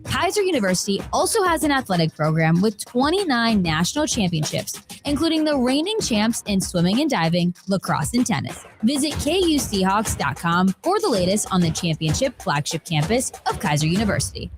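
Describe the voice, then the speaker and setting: Female commercial announcer, very varied intonation, mid pitch, scripted read over music. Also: very animated, brisk, hardly any pauses. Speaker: advert announcer. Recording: music bed under voice.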